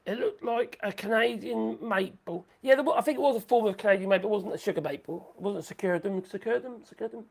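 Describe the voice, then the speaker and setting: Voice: medium pitch, fast talker, gravelly, hardly any pauses, a little mellow. A livestream host rambling through sarcastic commentary, saying the voice is going. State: losing voice.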